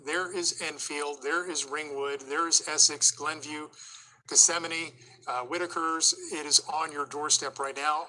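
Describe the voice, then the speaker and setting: A man's voice: fairly high pitch, pushed and bright, slight background noise, poor audio. A man giving live severe weather commentary as a TV forecaster, urgent and explanatory, going through the situation place by place.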